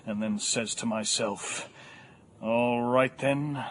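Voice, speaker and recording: An actor playing a bitter, sarcastic male high school English teacher, pompous and literary in his scorn. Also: steady tone, takes it slowly, slight background noise, close to an everyday voice. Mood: earnest, declaiming. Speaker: actor in audio drama.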